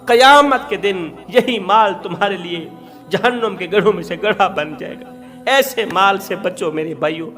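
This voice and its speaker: A man delivers an impassioned sermon, his voice pitched high as he drives each point home with repetition and rising cries. A soft music bed runs faintly beneath him. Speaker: preacher. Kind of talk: impassioned Urdu sermon with storytelling.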